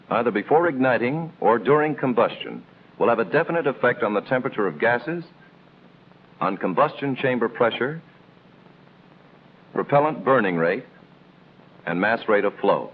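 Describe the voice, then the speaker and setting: An American man reading scripted instructional narration in the style of a 1950s military training film, explaining in a measured, didactic way with clear emphasis. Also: medium pitch, big pitch swings. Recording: tinny band-limited old film soundtrack, noisy.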